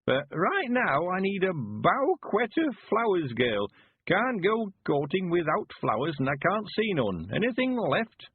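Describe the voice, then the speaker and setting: A man's voice: wildly swooping pitch, husky, dry recording, full character voice. Man voicing a frail old-timer. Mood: eager. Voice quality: high quavering old voice, slow drawl. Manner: chuckling, rustic, stubborn. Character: frail cheerful 91-year-old man, courting. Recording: phone-like lo-fi sound.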